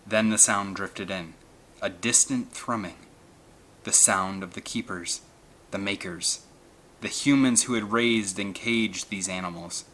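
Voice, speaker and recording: A man's voice: lowish voice, bright, forceful tone. A man reading an adventure novel aloud as dramatic scripted narration. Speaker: audiobook narrator.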